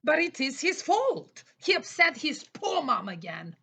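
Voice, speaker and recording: A woman voicing a fussy, cautious talking teddy bear in a children's audio play, squeaky and scolding. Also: very animated, unhurried, creaky, a bit bright, heavily acted. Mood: knowing, scolding.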